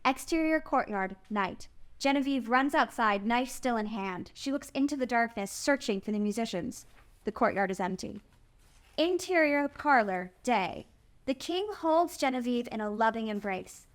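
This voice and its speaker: A woman's voice: on the high side, lively intonation, moderate pace. A woman narrating the stage directions of a screenplay at a table read.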